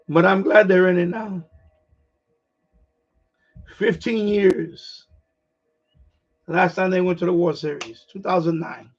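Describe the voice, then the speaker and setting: A middle-aged man from New York with a New York accent, hoarse, chatting casually on his livestream and restarting his phrases as an eager sports fan. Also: high voice, slow pace, pauses often. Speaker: livestream host. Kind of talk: casual rambling chat. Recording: dull lo-fi stream audio.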